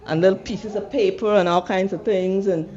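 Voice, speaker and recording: A woman's voice: mid-range voice, fairly even intonation, moderate pace, warm tone. An older woman of about seventy with a Guyanese accent, telling a personal story in a reflective way with emphatic repetition. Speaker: artist telling her story. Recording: dull sound with background room noise.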